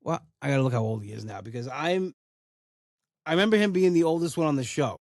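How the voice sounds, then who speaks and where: A man's voice: fairly high pitch, animated, fast talker, darkish tone, no echo, clean studio sound. Rambling man hosting a podcast. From Italian-American household. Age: 40s. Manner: rambling, full of "like" and restarts. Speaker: podcast host. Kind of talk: casual podcast chat.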